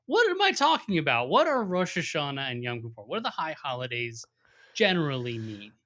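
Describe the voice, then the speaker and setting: A man's voice: a little high, very animated, fairly quick, pushed and bright. A man hosting a podcast interview, friendly and rambling, asking questions and joking about himself. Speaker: podcast host. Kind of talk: casual interview talk.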